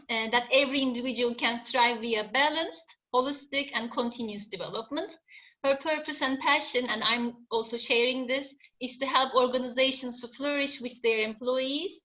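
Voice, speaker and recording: A woman's voice: high voice, pushed and bright. A woman hosting an online session, introducing the speaker in a formal, hesitant way. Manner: formal, hesitant reading of a bio. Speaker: session host.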